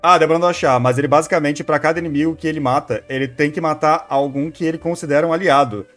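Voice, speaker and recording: A man's voice: fairly high pitch, fairly even intonation, fast, fairly bright tone, soft background music. A man with a Brazilian accent chatting casually as a streamer, rambling, thinking aloud and repeating himself. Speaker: streamer reacting to a video. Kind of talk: casual live stream chat.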